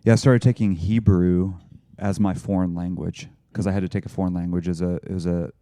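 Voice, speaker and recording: A man's voice: low voice, level tone, brisk, warm tone, dry recording, clean studio sound. A man co-hosting a church podcast, talking in a rambling, casual way with lots of restarts and fillers. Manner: rambling, frequent restarts and fillers, laughs easily. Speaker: pastor and podcast co-host. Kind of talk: casual discussion.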